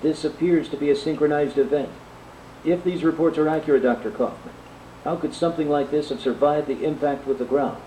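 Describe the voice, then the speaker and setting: A man's voice: fairly high pitch, fairly even intonation, brisk, warm tone, close to an everyday voice. A man playing a flustered, formal television news anchor covering a crisis in a radio drama. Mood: uneasy, formal. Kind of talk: live newscast radio drama acting. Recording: echoey room playback, faint noise.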